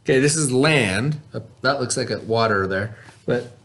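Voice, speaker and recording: A man's voice: mid-range voice, fairly bright tone. Man teaching a children's read-aloud lesson. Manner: patient, asks children questions and pauses.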